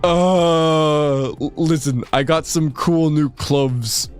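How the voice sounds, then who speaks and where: A man co-hosting a livestream, giving a halting mock-dramatic reading of fan fiction and hamming up the character lines. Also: fairly high pitch, steady tone, smooth, soft background music. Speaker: livestream co-host.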